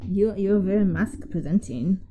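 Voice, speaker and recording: A woman's voice: mid-range voice, moderate pace, noisy background. A woman co-hosting a casual podcast, chiming in with reactive, supportive questions. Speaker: podcast co-host.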